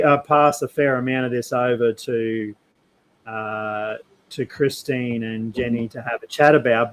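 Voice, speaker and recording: An Australian man hosting a casual podcast interview, talking in a halting, discursive and reflective way. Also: medium pitch, poor audio. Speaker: podcast host.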